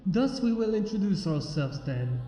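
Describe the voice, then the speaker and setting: A regal alicorn princess, acted out by a man for a fantasy storybook, speaks with stately archaic formality. The voice is high for a man and mellow, muffled by a reverberant recording. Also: sing-song, moderate pace. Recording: muffled, echoey long reverb under music.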